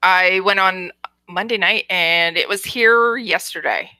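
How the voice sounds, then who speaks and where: A woman's voice: lowish voice, measured pace, sharp tone, dry recording. A woman co-hosting a podcast, telling everyday stories in a casual, chatty way. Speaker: podcast co-host.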